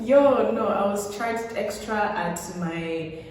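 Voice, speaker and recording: A young woman chatting casually in an animated way, acting out the exchanges she quotes. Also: medium pitch, slow. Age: young adult. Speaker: podcast guest. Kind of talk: casual chat. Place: echoey indoor room. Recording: very echoey room, distant mic, faint noise.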